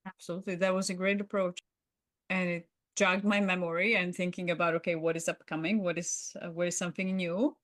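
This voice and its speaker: A woman's voice: fairly low pitch, flat delivery, fairly quick, no room sound. A woman co-hosting a podcast in unscripted reflective discussion, agreeable and affirming as she adds her thoughts. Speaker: podcast co-host.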